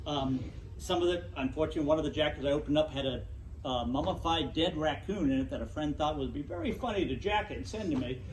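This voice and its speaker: A middle-aged man giving a loud, projecting talk to a club audience in a meeting room. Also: on the high side, fast, slight background noise. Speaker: guest speaker. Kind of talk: public lecture to a club. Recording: echoey room, distant mic.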